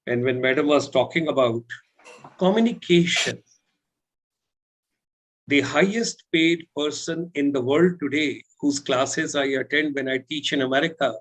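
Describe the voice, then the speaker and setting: Male trainer on a webinar panel, a touch high-pitched, repeats phrases for emphasis. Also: low-quality recording. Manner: spells out names letter by letter, repeats phrases for effect. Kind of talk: motivational panel talk.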